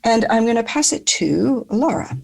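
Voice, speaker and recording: A woman in her sixties hosting a podcast and moderating a group discussion in a measured, articulate way. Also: a little high, expressive, vocal fry, a little mellow, slightly rough. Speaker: podcast host.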